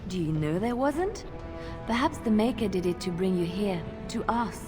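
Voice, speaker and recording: An actress playing a gentle, devout young religious sister in a fantasy game, sweet and earnest. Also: female voice, mid-range voice, animated, moderate pace, lightly acted. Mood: gently encouraging. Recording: game music behind voice.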